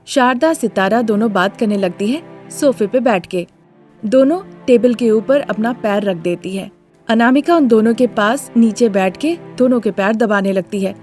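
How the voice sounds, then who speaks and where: A woman's voice: medium pitch, a little mellow. Woman narrating a moral story.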